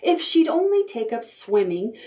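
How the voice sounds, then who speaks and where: A woman's voice: a little high, very animated, measured pace, mellow. A woman voicing exasperated storybook jungle animals who plead and complain in rhyme.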